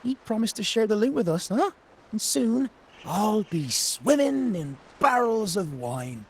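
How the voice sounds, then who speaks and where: A man's voice: high voice, sing-song, unhurried, a little mellow, slight background noise, exaggerated voice. A man playing a boastful, grovelling pirate henchman in a video game, telling tales in a theatrical, exclamatory way. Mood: frantic, scheming. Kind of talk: video game character acting.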